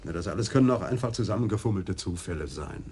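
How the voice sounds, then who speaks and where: An actor playing a skeptical, quick-witted police detective with a teasing manner. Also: male voice, sits low, lively intonation, darkish tone, husky, exaggerated voice. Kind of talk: radio drama acting.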